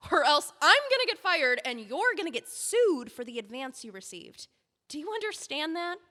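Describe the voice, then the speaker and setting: An anxious young editor pleads urgently, as an actress plays her. Her voice is high and bright and rises and falls a lot. A long reverb hangs behind her. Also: very animated, normal speed, exaggerated voice. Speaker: book editor. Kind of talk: audio drama acting.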